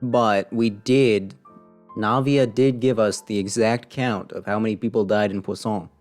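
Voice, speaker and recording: A man's voice: on the low side, fairly even intonation, a little slow, a little mellow. Wry man casually streaming a game. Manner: wry, slangy, rambling asides. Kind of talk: casual live reaction commentary. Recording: voice over faint game music.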